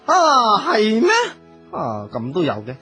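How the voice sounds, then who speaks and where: A quirky wizard king in a comic radio drama, with a bright voice that swoops all over. The delivery is exaggerated, nosy and easily flustered, in a Hong Kong accent. Music plays faintly behind. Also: mid-range voice, wildly swooping pitch, slow, heavily acted.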